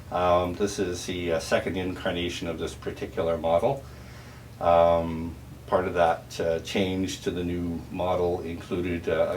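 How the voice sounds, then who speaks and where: A man with a low voice walks through technical product details in an informal demonstration. His delivery is halting and explanatory, with clear noise behind him. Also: a little mellow. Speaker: audio dealer and turntable restorer giving a product tour.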